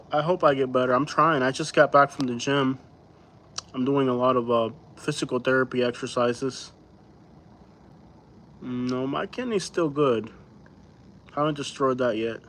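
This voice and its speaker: A man's voice: medium pitch, a bit bright. A man from Florida hosting a casual late-night live chat. He rambles loosely in a slangy, easygoing way, reading out viewers' comments and answering them. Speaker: livestream host.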